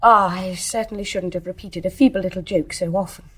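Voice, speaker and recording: A woman's voice: on the low side, fairly even intonation, brisk, fairly bright tone, husky. An actress playing an accused young wife in a radio play, defiant and dryly candid in her retorts. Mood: dry defiance. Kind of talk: radio drama acting.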